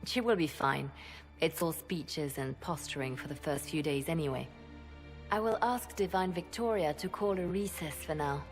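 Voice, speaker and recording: A woman's voice: low-pitched, normal speed. A woman playing a cool, composed spymaster in a video game, giving reports in a controlled, matter-of-fact way. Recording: soft music behind.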